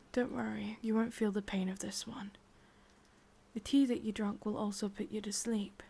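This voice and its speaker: A woman performs a sadistic, controlling witch for an ASMR roleplay. Her English-accented voice is breathy and often drops into a full whisper. She takes her time over each phrase, intimate and doting while staying firmly in command. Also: medium pitch, mellow. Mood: coaxing, reassuring. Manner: slow, deliberate, intimate delivery.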